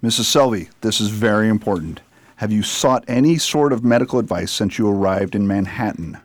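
A man playing a calm, methodical doctor in an amateur radio drama. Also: fairly low pitch, a little fast, no echo, close to an everyday voice. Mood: clinical, serious. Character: calm, methodical male doctor and poison expert.

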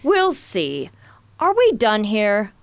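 A woman's voice: medium pitch, wildly swooping pitch, slow pace, gravelly, no room sound, exaggerated voice. A woman playing a cool, skeptical, impatient woman in a science fiction radio drama.

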